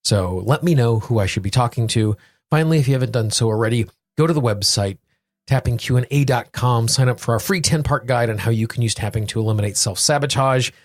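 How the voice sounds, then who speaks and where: A man hosting a solo podcast, speaking earnestly in deliberate phrases, repeating words for emphasis. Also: mid-range voice, no echo. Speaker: podcast host. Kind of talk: solo podcast talk with guided affirmations.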